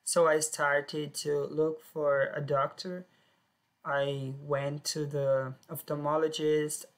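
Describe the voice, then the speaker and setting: A woman telling her own life story in plain, simple sentences with short pauses. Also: low voice, level tone. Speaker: personal storyteller. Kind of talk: first-person life story.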